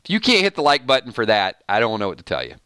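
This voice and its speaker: A man with a Southern Georgia accent chats loosely to his viewers, rambling with frequent laughs. His voice is bright and ringing, smooth and clean. The sound is dry and close. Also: mid-range voice, sing-song, very fast, dry recording. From Georgia, USA. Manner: chatty, rambling, laughs often, reads viewer comments. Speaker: show host. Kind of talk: casual live-stream chat.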